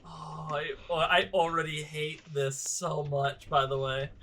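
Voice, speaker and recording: A man chatting casually with friends as he reacts, excitable and quick to swear. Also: on the high side, a little hiss or hum.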